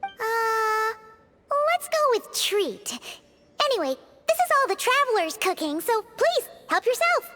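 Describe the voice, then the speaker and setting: A woman's voice: extremely high pitch, very animated, measured pace, bright, forceful tone, exaggerated voice. A woman voicing a tiny, childlike, chatty and easily offended floating companion in a video game, speaking in exclamations. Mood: hesitant, friendly. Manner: refers to herself in third person, exclamatory. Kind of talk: video game character acting. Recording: music behind the voice. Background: game music.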